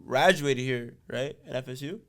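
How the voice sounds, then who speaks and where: A man born in the United States hosting a casual podcast, jumping in with quick, excited, slangy reactions. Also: medium pitch. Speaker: podcast host.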